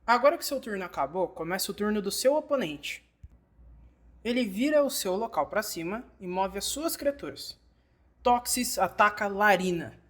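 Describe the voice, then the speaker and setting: A Brazilian Portuguese narrator delivers a scripted game tutorial. The voice sits at a medium pitch and speaks straight to the learner in an instructive way, as in a fan dub. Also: expressive, normal speed. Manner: instructive, addressing the learner directly.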